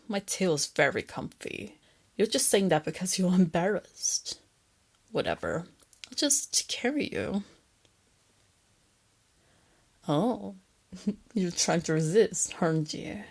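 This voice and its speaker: Woman playing a breathy, teasing lamia captor, pitched low. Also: full character voice. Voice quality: soft, breathy, often slipping into whispers. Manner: teasing, coaxing, condescending, giggly. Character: female lamia captor, softly teasing, doting yet menacing. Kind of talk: intimate roleplay ASMR.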